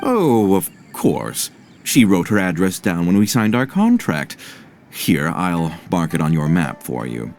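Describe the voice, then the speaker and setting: A man voicing a polite, fussy merchant in an anime game, with formal, deliberate phrasing. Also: low-pitched, wildly swooping pitch, darkish tone, full character voice. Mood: obliging. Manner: formal, courteous, deliberate, theatrically inflected. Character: polite, fussy, self-assured male merchant.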